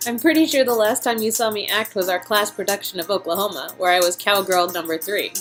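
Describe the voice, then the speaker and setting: A woman's voice: mid-range voice, brisk, a bit bright, faint music behind, natural voice. A woman playing an earnest, modest young aspiring actress, chatting a little hesitantly with an old friend in comedic dialogue. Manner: giggly, hesitant, self-effacing. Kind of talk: improvised comedic dialogue performance.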